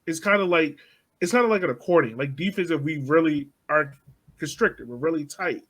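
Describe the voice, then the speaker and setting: A man co-hosting a casual sports podcast, eagerly explaining tactics with analogies and jumping in with quick agreement. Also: fairly high pitch, fairly bright tone. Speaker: podcast co-host.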